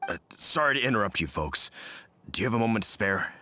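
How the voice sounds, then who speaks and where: A man playing a gruff but decent village fellow in a video game, folksy and forceful. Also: on the low side, expressive, fast talker. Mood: worried.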